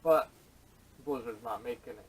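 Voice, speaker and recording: Male podcast panelist, middle pitch, opinionated and blunt, recorded at a distance with faint noise. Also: moderate pace. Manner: blunt, opinionated, casual swearing. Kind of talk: casual opinionated chat. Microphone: camera microphone at a distance.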